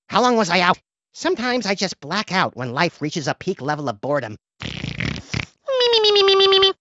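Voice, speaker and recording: A man voicing a manic, gleefully violent, childish cartoon rabbit in a video game, with flippant, theatrical delivery that swings between deadpan and shrill. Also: no echo, dull audio, exaggerated voice. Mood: excited, gleeful. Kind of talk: animated video game character voice lines.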